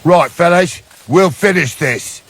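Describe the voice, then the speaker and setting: High-pitched, threatening armed thug. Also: male voice, slow, heavily acted. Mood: menacing. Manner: threatening, shouted orders. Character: menacing, foul-mouthed male armed thug.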